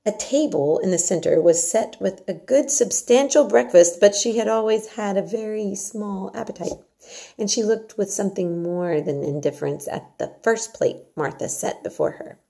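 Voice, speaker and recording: A woman reading a children's novel aloud as narrator, in an expressive storytelling manner. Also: mid-range voice, normal speed, gravelly. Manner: expressive, explains old words aside. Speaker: storybook reader, teacher-like narrator.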